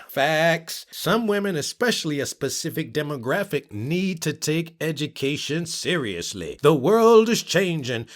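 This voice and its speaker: Male narrator, fairly high and very animated, theatrical, emphatic comment reading. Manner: emphatic, theatrical, shifting delivery.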